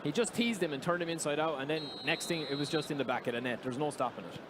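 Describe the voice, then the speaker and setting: A man from Ireland giving excited, chatty color commentary on live esports football. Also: on the high side, quick pace, background noise.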